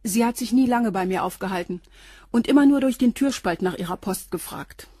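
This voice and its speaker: A woman's voice: medium pitch, a little fast, mellow, husky, no echo, clean studio sound, close to an everyday voice. A woman playing a matter-of-fact concierge. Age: 40s. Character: plain-spoken woman concierge around forty.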